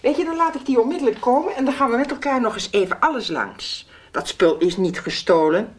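A woman playing a brisk, bossy office colleague in a radio soap, speaking in an emphatic, insistent way. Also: a little high, expressive, breathy edge. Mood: commanding, impatient. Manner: commanding, emphatic, questioning. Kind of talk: 1990s Dutch radio soap acting.

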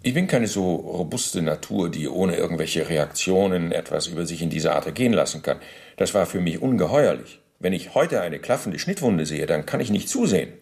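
An actor in a radio play voicing a middle-aged Nazi bureaucrat under interrogation, formal, halting and self-justifying, with deliberate pauses. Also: male voice, low-pitched, animated, creaky, a little mellow. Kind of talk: German radio play, testimony monologue.

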